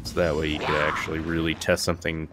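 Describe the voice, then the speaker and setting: A man's voice: very deep voice, completely flat delivery, quick pace, a bit bright. A man giving casual gameplay commentary as the host of a gaming video. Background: game music.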